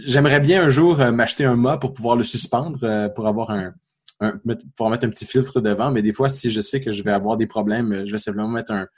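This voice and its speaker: A man's voice: medium pitch, slightly rough. A middle-aged man with a Quebec French accent, chatting casually as a podcast guest, hesitant, with many fillers and frequent chuckles. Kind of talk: casual interview chat.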